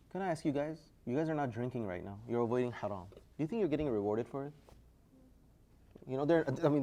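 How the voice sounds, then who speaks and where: Energetic man quizzing his class. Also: medium pitch. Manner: energetic, quizzing the class, rising loudly to prompt answers. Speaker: Islamic studies teacher. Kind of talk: classroom lecture with call-and-response.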